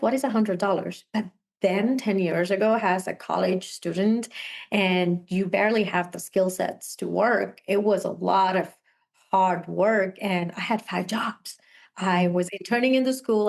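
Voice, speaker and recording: Young sri lankan-born woman, guest on a video call, earnest and chatty, laughs a lot, mid-pitched. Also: creaky. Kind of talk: casual interview conversation.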